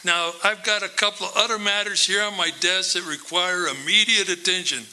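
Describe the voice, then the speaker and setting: A man's voice: high-pitched, a little fast, pushed and bright. A man acting a dismissive police desk officer in a live radio play, patronizing and brushing off the caller.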